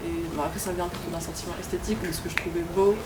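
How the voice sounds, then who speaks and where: A woman's voice: lowish voice, steady tone, racing. A young woman filmmaker answering interview questions casually, hesitant, with many fillers and restarts. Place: café venue. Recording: echoey room with ambient noise.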